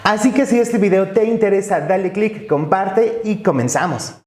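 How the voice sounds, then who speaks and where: A man, hosting his own channel and clearly explaining teacher-exam study material in an upbeat, explanatory way. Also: high-pitched, normal speed, over music. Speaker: YouTube channel host, teacher-exam tutor. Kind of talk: educational explainer reading curriculum text.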